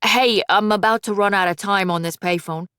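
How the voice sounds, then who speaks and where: A woman's voice: medium pitch, normal speed, a bit bright, clear, resonant tone, no room sound, studio quality, close to an everyday voice. A woman voicing a guilty eighteen-year-old son who hides his troubles behind forced cheer. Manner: hesitant, covering with forced cheer. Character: young man of eighteen, guilty and evasive.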